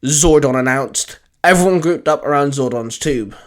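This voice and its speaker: A man hosting and narrating a fan fiction story in a dramatic, deliberate, emphatic scripted style. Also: a little high. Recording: music under the voice. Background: music.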